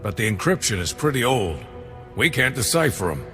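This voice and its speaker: A bright, forceful security chief. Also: male voice, mid-range voice, sing-song, moderate pace. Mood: businesslike. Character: gruff but grateful male security chief. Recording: long reverb, faint music.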